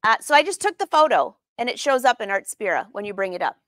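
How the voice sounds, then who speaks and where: A woman's voice: fairly high pitch, big pitch swings, quick pace, fairly bright tone, no room sound. A middle-aged woman hosting a casual live craft demonstration, chatting informally and putting questions to her viewers. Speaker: host of a live sewing lesson. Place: sewing studio room, indoors.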